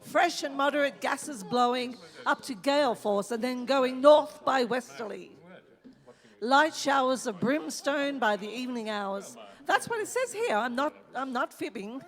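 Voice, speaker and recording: A woman acts out a chatty radio reporter broadcasting from the underworld in a comic radio play. The voice is fairly high and wry, with long reverb from the hall. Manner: chatty, wry, playfully stalling. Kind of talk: staged radio play acting. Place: live stage in a hall.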